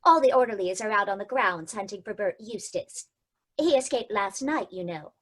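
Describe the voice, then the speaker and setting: A woman's voice: mid-range voice, animated, normal speed, fairly bright tone. A woman playing a polite, businesslike switchboard operator.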